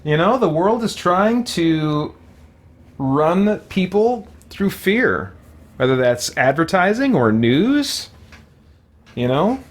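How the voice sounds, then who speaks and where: A man hosts a gaming session in a casual, conversational way. His voice is slightly high and very lively in pitch, yet he takes his time, musing in an amused manner. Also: slow pace. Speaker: let's play host. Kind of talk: casual gaming commentary with chat reading.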